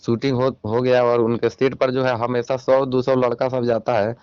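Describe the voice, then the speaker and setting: A man's voice: medium pitch, little pitch movement, normal speed, clear, resonant tone, dry recording. A man with a Bihari accent speaking as a channel reporter on a recorded phone call, questioning and admonishing in an insistent way. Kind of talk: confrontational phone interview.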